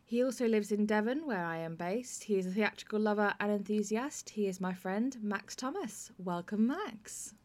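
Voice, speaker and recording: A woman's voice: medium pitch, animated, moderate pace, few pauses, darkish tone. Wry British woman hosting a podcast. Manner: wry, self-deprecating. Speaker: podcast host. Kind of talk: scripted introduction and friendly interview.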